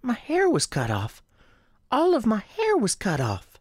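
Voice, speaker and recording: A woman's voice: on the high side, wildly swooping pitch, measured pace, a little mellow, slightly rough, no echo, studio quality, heavily acted. A woman playing a lost, polite young girl with a Southern US accent in a radio drama, earnest and childlike. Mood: troubled.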